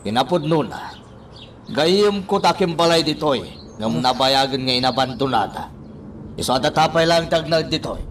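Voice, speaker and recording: A man's voice: on the high side, moderate pace, slight background noise, lightly acted. A man in a radio drama playing a firm, reassuring figure who takes charge, commanding yet soothing.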